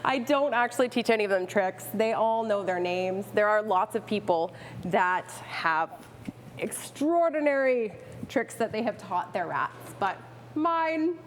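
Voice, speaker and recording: Female interview guest, mid-range pitch, playful and keen, conversational, slight ambient noise behind her. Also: expressive, unhurried. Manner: playful, enthusiastic, informal. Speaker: guest expert, pet rat owner and rescuer. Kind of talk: casual interview chat.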